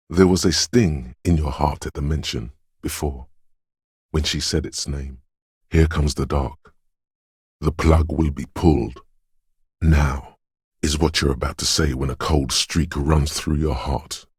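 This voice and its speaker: An actor playing a cryptic, dreamy inner voice of intuition in a video game, theatrical and ominous, with a gravelly hushed rasp and deliberate dramatic pauses. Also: male voice, rumbling low, no room sound, studio quality, heavily acted. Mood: ominous, foreboding. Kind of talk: theatrical video game narration, second-person poetic musing.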